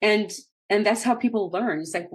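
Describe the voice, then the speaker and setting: A woman hosting a podcast in a casual, natural conversation, speaking haltingly as she searches for words. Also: medium pitch, slightly rough. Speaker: podcast host.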